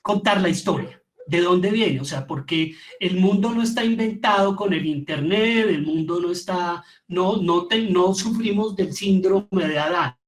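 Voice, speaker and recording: A man's voice: high-pitched, unhurried, few pauses. A middle-aged man with a Colombian accent gives an informal, reflective lecture, repeating words for emphasis as he teaches. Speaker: theatre teacher giving a lecture.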